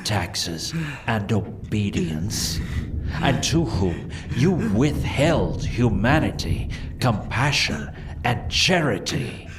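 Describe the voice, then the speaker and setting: A man's voice: fairly low pitch, big pitch swings, takes it slowly, background noise. A man playing the Red Death, a merciless masked spirit of plague, speaking in solemn, ominous pronouncements in a gothic audio drama. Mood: stern condemnation. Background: dramatic music.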